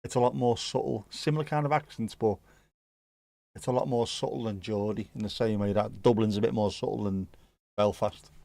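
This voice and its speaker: A man's voice: on the low side, steady tone, a little fast, creaky, warm tone, dry recording. Man, Scouse accent, casual joking livestream chat, dry close mic. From Liverpool area, England. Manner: chatty, joking, swears casually. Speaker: livestream host. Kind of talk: casual chat reacting to live chat.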